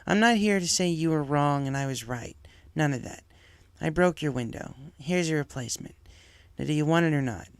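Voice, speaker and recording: A man voicing a brash young female pegasus pony, earnest and blunt, in a creaky voice for a story reading. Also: fairly high pitch, animated, moderate pace, dry recording, pitched above the performer's own voice. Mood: curt, impatient.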